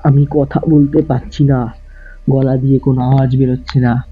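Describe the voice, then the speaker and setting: A man telling a first-person horror story in a slow, suspenseful way. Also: medium pitch, little pitch movement, husky. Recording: muffled lo-fi with faint music.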